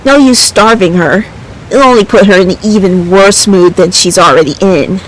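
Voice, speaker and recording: A woman's voice: medium pitch, moderate pace, a little hiss or hum, close to an everyday voice, low-quality recording. A woman voicing a brash, blunt adventure game companion in a casual, bossy, teasing manner. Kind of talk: video game character voicing.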